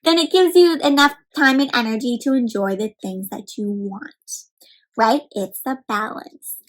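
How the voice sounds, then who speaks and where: A woman's voice: fairly high pitch, sharp tone, rough recording. A woman hosting an interactive picture-book read aloud for children, talking directly to them and asking encouraging questions.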